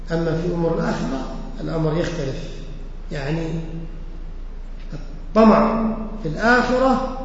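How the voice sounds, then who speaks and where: A man teaching a religious lesson who recites verses slowly, repeats them and explains their words with questions to his listeners. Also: a little high, slight background noise. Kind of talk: lesson explaining poetry and sayings. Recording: echoey hall, muffled lo-fi recording.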